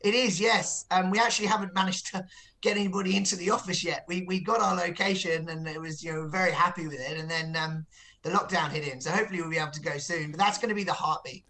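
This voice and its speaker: A man's voice: high voice, steady tone, fast, sharp tone, low-quality recording. A man giving a business interview as a company executive, explaining things with frequent repeated words.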